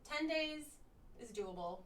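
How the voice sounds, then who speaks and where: A woman's voice: sits high, expressive, slow, fairly bright tone, a little hiss or hum. Chatty woman on a distant, roomy mic. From Croatia. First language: Croatian. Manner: chatty, upbeat, lots of fillers. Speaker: guest. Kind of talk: casual chat.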